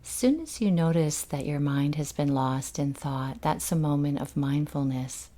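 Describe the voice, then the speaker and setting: A woman leading a guided meditation in a gentle, unhurried, soothing manner, leaving long pauses between instructions. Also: deep voice.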